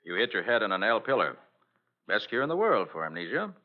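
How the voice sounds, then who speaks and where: An actor playing a confident, quick-witted private detective with an assured, wry manner in an old radio drama. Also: male voice, lowish voice, big pitch swings. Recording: dull old radio recording.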